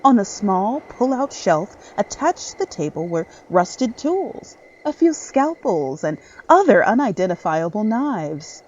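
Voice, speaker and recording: Expressive woman narrating a horror story. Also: medium pitch, unhurried, hardly any pauses, highs cut off, a little hiss or hum.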